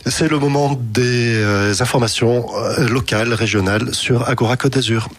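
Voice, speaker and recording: A man hosting a radio show casually, halting in his phrasing and given to jokey banter. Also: mid-range voice, fairly even intonation, background noise. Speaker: radio host.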